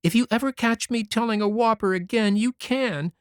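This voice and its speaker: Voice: normal speed, smooth, dry recording, clean studio sound, lightly acted. A reader voicing a mischievous six-year-old boy who pleads and questions eagerly, in an audiobook. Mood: sobbing pleas. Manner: high, eager childish pleading. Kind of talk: audiobook character voice.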